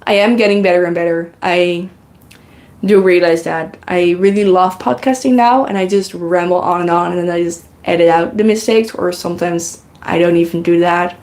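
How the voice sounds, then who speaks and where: A woman's voice: lowish voice, steady tone, normal speed, darkish tone. A woman whose first language is Dutch, rambling casually on her knitting live stream and thanking viewers in the chat, recorded on a good microphone. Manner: rambling, chatty, reads and thanks chat comments. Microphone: good podcasting microphone.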